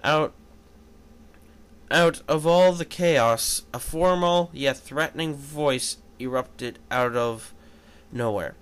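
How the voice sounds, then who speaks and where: Slow, halting amateur male reader. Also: fairly high pitch, clean tone. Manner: halting, stumbles over words and corrects himself. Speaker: fan fiction reader and commentator. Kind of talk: amateur story reading with casual asides.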